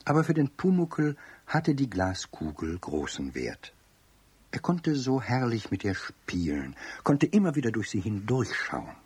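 A man narrating a children's radio play as storyteller, expressive and addressing young listeners directly, with a deep, husky voice. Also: unhurried, no echo.

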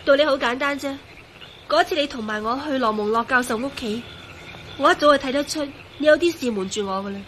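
A woman's voice: high voice, a little fast, a bit bright, a little hiss or hum. An actress playing a plucky, earnest young woman in a radio drama. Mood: frank recounting. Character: plucky young woman searching for her fiancé. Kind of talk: Cantonese radio drama acting.

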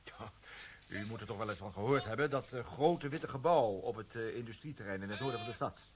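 An actor playing a cheerful, chatty police desk officer in a radio play, breezy and gossipy. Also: male voice, lowish voice, a little fast, crowd noise, lightly acted. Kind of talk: broadcast radio play acting.

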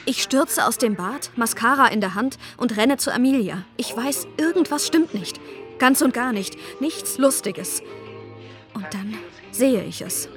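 A woman's voice: a little high, expressive, a little slow, over music. An actress playing a frazzled mother telling her story in a wry, self-justifying monologue in a radio play. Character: frazzled middle-class mother.

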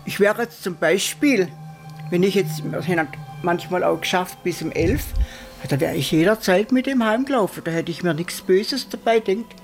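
A woman's voice: lowish voice, moderate pace, soft background music. A woman telling a personal recollection in informal speech, quoting as she goes.

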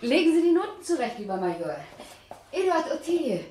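An actress in a radio play playing a genteel, brisk lady of the house. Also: sits high, very animated, darkish tone. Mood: brisk, inviting. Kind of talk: German radio play acting. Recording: roomy, faint background noise.

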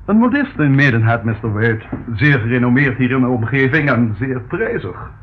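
A man's voice: medium pitch, very animated, moderate pace, natural voice. An actor playing a fussy, easily scandalised male estate agent in a Dutch radio play, with formal, pompous patter. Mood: effusive, salesmanlike. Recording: muffled old radio recording, faint noise.

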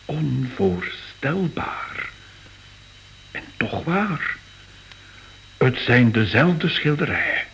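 Animated, emotional art lover. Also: male voice, medium pitch, extremely slow, creaky, long pauses, slight background noise, full character voice, low-quality recording. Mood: awed, rapturous. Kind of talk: radio drama acting.

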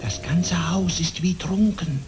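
An actor playing a menacing male dream spirit, a tormenting evil conscience, in theatrical radio play style with a commanding, incantatory delivery. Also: high voice. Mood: urgent, goading. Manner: slow, commanding, incantatory, with hissed whispers. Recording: dramatic music behind the voice.

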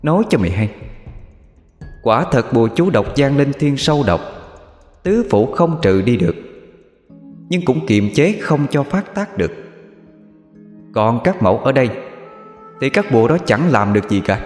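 Commanding goddess, scolding and raised, as a male storyteller puts her on; lively pitch, reverb behind. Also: moderate pace, pauses often, lightly acted. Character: stern, wrathful mother goddess ruling spirits. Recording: reverb with background music.

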